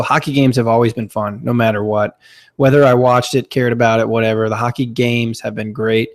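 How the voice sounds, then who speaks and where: A man in his thirties from Florida, co-hosting a podcast and reminiscing in a chatty, casual way. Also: mid-range voice. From Florida, USA. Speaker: podcast co-host. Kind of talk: casual chat.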